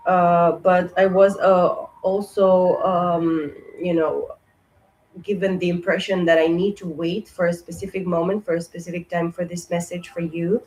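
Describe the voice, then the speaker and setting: A woman reads tarot cards in a loose, casual way, her voice hoarse and strained as it fades. She speaks on a low, flat pitch that barely rises or falls. She stops and restarts often and fills the gaps with "uh" and "um.". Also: slight background noise, low-quality recording. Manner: halting, many fillers and restarts. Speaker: tarot reader. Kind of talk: casual spoken tarot reading.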